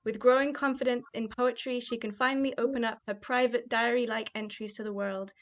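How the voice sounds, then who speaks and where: A woman's voice: on the high side, fairly even intonation, a little fast. A young woman from Kenya hosting an online poetry showcase and reading her own spoken-word poem, chatty and upbeat with fillers between remarks. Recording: dull, muffled live-stream audio.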